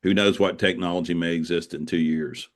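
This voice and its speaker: A middle-aged man speaking casually as an interview guest, explaining things in a halting way and repeating words. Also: sits low, steady tone. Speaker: guest, author.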